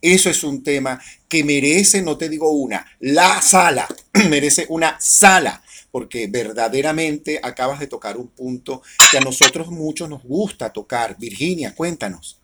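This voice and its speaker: A man's voice: fairly high pitch, expressive, unhurried, bright, forceful tone, slightly rough. A man hosting an online talk show, interviewing a guest with effusive enthusiasm. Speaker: host.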